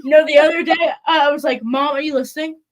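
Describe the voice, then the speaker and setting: A woman's voice: high voice, steady tone, fast talker. Playful young woman telling stories. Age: young adult. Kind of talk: casual chat, storytelling.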